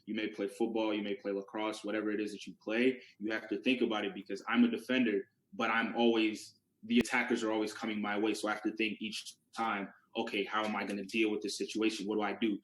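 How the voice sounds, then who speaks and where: A man's voice: lowish voice, fairly bright tone, echoey room, low-quality recording. A young man whose first language is Yoruba, giving a casual classroom presentation as a student presenter and talking it through with rhetorical questions. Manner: conversational, restarts words, asks rhetorical questions.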